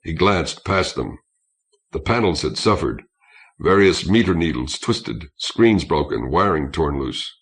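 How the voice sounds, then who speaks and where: A man's voice: deep voice, expressive, unhurried, a bit bright. A man reading a science fiction novel aloud as scripted audiobook narration.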